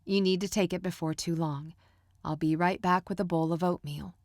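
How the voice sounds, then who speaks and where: A woman's voice: lowish voice, fairly even intonation, moderate pace, dry recording, studio quality, lightly acted. Woman reading as a sweet, doting mother. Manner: soft, doting, cooing. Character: sweet, overly caring middle-aged mother.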